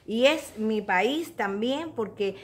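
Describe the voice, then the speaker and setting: A woman's voice: fairly high pitch, expressive, takes it slowly. A middle-aged woman with a Cuban Spanish accent, chatting casually and earnestly to her viewers in a confessional way, recorded on a phone at home. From Cuba. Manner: earnest, addresses viewers directly, motherly advice. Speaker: vlogger. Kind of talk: casual confessional chat to camera. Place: small living room at home. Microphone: phone at arm's length.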